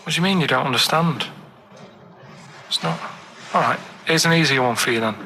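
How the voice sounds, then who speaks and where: A man chatting casually on a radio show, telling odd facts and stories in a halting, earnest, matter-of-fact way. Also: a little high, pushed and bright. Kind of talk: casual rambling radio chat and anecdotes.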